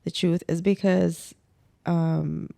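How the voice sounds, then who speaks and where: Female podcast guest from the Emirates, casual and explanatory, soft dark tone with creaky edges. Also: lowish voice, flat delivery, slow pace. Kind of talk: casual conversation.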